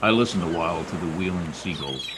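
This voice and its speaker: An actor playing a world-weary but decent private detective in old-time radio noir style, wry and laconic. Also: male voice, sits low, animated, fast talker, background noise. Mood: wry, brooding.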